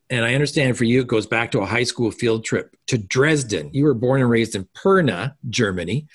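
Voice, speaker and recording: A man hosting a podcast, reading a scripted introduction and then interviewing a guest in a polished, measured way. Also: medium pitch, breathy edge. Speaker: podcast host.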